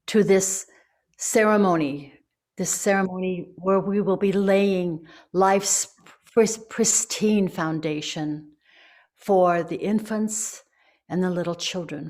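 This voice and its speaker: A woman hosting an online ceremony, welcoming people in a calm, deliberate way over a video call. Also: on the low side. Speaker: ceremony host. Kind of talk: slow welcoming address.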